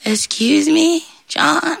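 A storyteller putting on a high-pitched blustering devil. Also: very slow, vocal fry, fairly bright tone, dry recording, full character voice. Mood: meek pleading. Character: big blustering devil, bullying then squeakily pleading.

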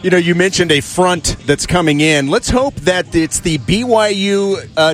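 A man's voice: sits high, a bit bright. A man hosting a sports pregame show from a radio studio in a polished broadcast style.

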